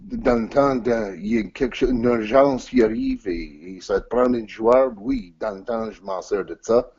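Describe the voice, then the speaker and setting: A man whose first language is English, speaking French haltingly in an interview over an audio call and searching for words with many restarts. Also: mid-range voice, creaky, dark tone, slightly rough, highs cut off. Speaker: interview guest, team manager.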